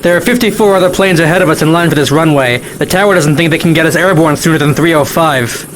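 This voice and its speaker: A man's voice: fairly high pitch, fast, a bit bright, a little hiss or hum, natural voice, poor audio. A man playing a tense, blunt private-plane pilot in an audio drama, speaking tersely. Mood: frustrated, tense. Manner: terse, radio jargon. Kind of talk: naturalistic audio drama acting.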